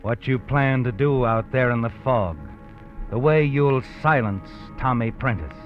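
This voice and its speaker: An actor voicing an ominous, all-knowing narrator who taunts the hero in second person, 1950s radio drama style. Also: male voice, medium pitch, measured pace, close to an everyday voice. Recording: tinny old radio with loud music behind.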